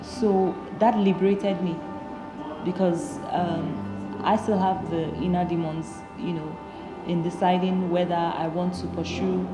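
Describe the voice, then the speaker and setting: A woman's voice: lowish voice, fairly even intonation, unhurried. A young adult woman giving a personal, spoken testimonial about a mentor. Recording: echoey room, loud music over the voice.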